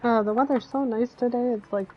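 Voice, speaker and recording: A woman's voice: a little high, fairly even intonation, a little fast, soft background music. A woman chatting casually and grumbling sarcastically while playing a video game with her brother. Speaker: gaming streamer. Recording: dull lo-fi stream audio.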